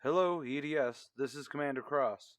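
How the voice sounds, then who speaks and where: An actor playing a male survey commander and tender long-lost lover in an audio drama, halting and emotional. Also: mid-range voice, lightly acted. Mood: brisk, puzzled.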